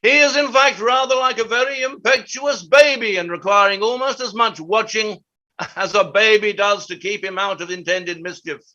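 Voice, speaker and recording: An actor playing a pompous, exacting playwright who narrates stage directions in a deliberate, declamatory way. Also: male voice, high-pitched, bright, forceful tone, dull audio. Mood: emphatic. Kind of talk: theatrical reading of stage directions.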